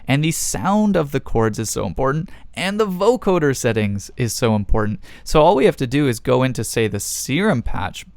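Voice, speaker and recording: A man's voice: mid-range voice, very animated, normal speed, creaky, few pauses, a little mellow, no room sound. A man hosting a music production tutorial, explaining things step by step in a casual way.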